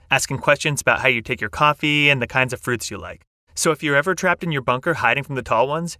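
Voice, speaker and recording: A man's voice: mid-range voice, bright, forceful tone, dry recording, studio quality. A man reading an upbeat scripted sponsor message as a podcast host. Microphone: close studio mic, dry.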